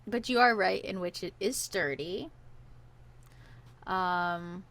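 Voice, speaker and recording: A woman co-hosting a podcast, playing a word game in casual chat with frequent giggles. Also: fairly low pitch. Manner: giggly, quick soft answers. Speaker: podcast co-host.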